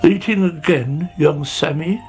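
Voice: medium pitch, measured pace, a little mellow, soft background music, voice pushed up, natural voice. A storyteller doing a fussy old snail. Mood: scolding. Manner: sighing, prim scolding.

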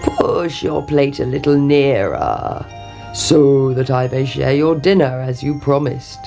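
A woman voicing a demanding male frog in a storybook, with a croaky voice and slow, insistent delivery. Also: rumbling low, over music, full character voice.

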